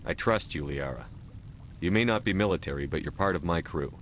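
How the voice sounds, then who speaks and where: An actor playing a steady, commanding military officer in a video game, speaking firmly and directly. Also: male voice, deep voice, brisk, a little hiss or hum. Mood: calm, firm. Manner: firm, direct.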